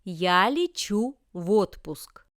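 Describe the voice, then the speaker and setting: A woman reads short example sentences for a language lesson. Her delivery is slow and deliberate, with every word carefully formed. The voice is crisp and smooth, in a dry recording with no room sound. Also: mid-range voice, animated, no room sound. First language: Russian. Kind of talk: scripted example sentences read for learners.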